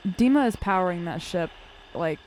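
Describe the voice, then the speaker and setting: A woman's voice: on the low side, lively intonation, moderate pace, darkish tone, a little hiss or hum, rough recording. A woman bantering casually as a player in a tabletop game.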